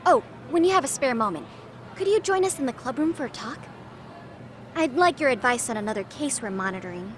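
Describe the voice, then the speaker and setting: High, lively, sly schoolgirl club president. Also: fairly quick, a little hiss or hum. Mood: polite, intriguing. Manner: formal, articulate, teasing. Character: confident, articulate, slyly scheming high school club girl. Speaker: student club president character. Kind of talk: English game voice acting. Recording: loud music behind the voice. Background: background music.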